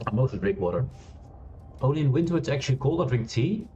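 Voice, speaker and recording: A man streaming a space game, chatting loosely with many fillers and restarts, laughing now and then. He has a loud voice. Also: medium pitch, big pitch swings, fast talker, dull audio, a little hiss or hum. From Europe. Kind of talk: casual gameplay commentary. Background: quiet game music.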